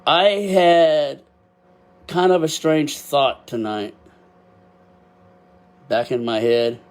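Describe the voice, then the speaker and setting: A man's voice: a little high, steady tone, drawn-out words, smooth. An older man with a Texas accent hosting his own podcast, talking casually and emotionally with frequent laughs, recorded on a built-in webcam microphone. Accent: Texan. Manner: emotional, rambling, laughs often; loud at times, deaf and can't hear himself. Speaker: podcast host. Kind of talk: casual solo podcast talk.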